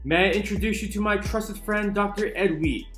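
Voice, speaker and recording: A man giving a dramatic, emphatic reading of a book, with deliberate pauses. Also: high voice. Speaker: channel narrator reading a book aloud. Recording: roomy echo with music underneath. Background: soft chill music.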